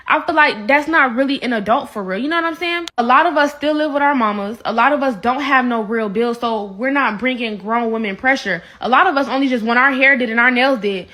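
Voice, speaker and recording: A woman's voice: sits high, brisk, hardly any pauses, pushed and bright. A young woman giving an earnest, lecturing rant for a social media video. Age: young adult.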